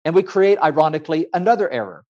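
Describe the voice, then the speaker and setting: A man's voice: fairly high pitch, animated. Reflective male author guest, raised in Ecuador, thoughtful delivery, lo-fi remote sound. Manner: measured, thoughtful, many fillers. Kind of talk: reflective interview answer.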